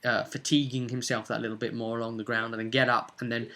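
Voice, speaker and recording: Bright-voiced man casually recapping a game. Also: medium pitch, little pitch movement. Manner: rambling, halting delivery. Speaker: game master recapping a tabletop role-play session. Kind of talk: casual unscripted storytelling recap.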